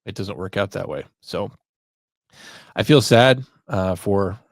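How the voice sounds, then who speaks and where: A man who works as a 911 dispatcher hosting a podcast, giving reflective solo commentary in halting, deliberate phrases. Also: sits low, expressive, dry recording, clean studio sound.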